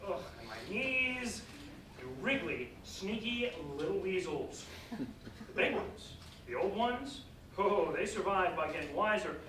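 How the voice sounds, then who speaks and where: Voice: mid-range voice, sing-song. An actor playing a weary, grumbling old fisher in a theatrical stage monologue, exclaiming and drawing out the words. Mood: weary, frustrated. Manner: theatrical, exclamatory, drawn-out delivery with sighs. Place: live staged reading in a reverberant room. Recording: very echoey hall, distant mic, noisy.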